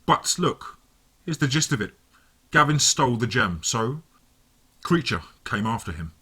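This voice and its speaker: Guy doing a smooth creepy villain on the phone, slow and mocking, bright husky voice. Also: medium pitch, full character voice. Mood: calm menace. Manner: calm, mocking, drawn-out menace. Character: sinister gloating male villain on phone.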